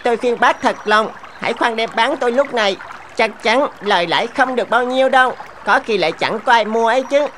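Woman voicing sly little goldfish, high-pitched. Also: fairly quick, a little hiss or hum, lightly acted. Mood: coaxing. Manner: coaxing, sly, expressive. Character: small, cunning, sweet-talking talking goldfish, mocking trickster. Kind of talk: animated fable dubbing.

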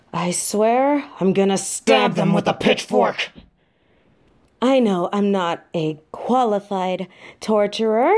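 A woman's voice: medium pitch, a little mellow. A woman playing a sweet weary demon girlfriend. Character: sweet tired female demon girlfriend, affectionate. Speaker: voice actor in an audio roleplay. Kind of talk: intimate audio roleplay.

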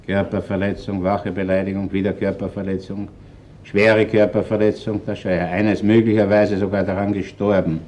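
An actor playing a gruff, shrewd middle-aged Viennese chief inspector in a radio crime drama, speaking bluntly and colloquially. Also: male voice, sits low, level tone, a little fast, a little mellow, a little hiss or hum, lightly acted. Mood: brisk, commanding. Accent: Viennese Austrian. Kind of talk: German-language radio crime drama acting.

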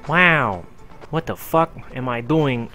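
A man's voice: medium pitch, expressive, slow, bright, forceful tone, faint music behind. A man doing casual let's play commentary in an informal, profane, slangy way. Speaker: gaming video host.

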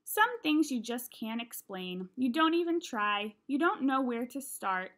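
High-voiced young woman reading aloud. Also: big pitch swings, a little slow, a bit bright. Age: young adult. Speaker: read-aloud narrator. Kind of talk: reading a children's novel aloud. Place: small room at home.

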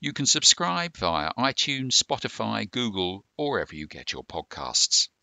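Bright, deep-voiced man narrating. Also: very animated, moderate pace, gravelly, no echo. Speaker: presenter. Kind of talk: scripted instructional narration.

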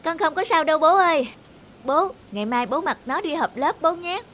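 A woman voicing an earnest, polite and devoted schoolboy son in an animated moral tale. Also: high voice, normal speed, slight background noise. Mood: earnest, loving. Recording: faint music behind the voice.

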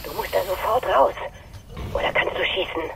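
An actress in an audio drama playing a tense crew member speaking over the ship's radio. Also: female voice, mid-range voice, lively intonation, moderate pace, vocal fry, background noise. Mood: urgent.

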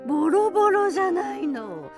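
A woman's voice: very high voice, very animated, moderate pace, creaky, music in the background, heavily acted. A woman playing a kindly, fussing old grandmother field mouse with old-fashioned phrasing, in a radio drama. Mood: concerned.

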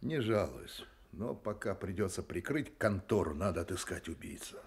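An actor in a radio play voicing a terse, determined private detective, probing and dry. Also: male voice, sits low, expressive, moderate pace, slight background noise. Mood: dry, resolute.

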